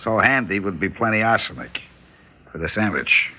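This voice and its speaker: Low, wry old deli man. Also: moderate pace, husky. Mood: wry, dry. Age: older. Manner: wry, resigned, politely deadpan, inverted phrasing. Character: weary, wry older male delicatessen owner held hostage. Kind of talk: radio drama acting. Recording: dull, hissy old radio recording.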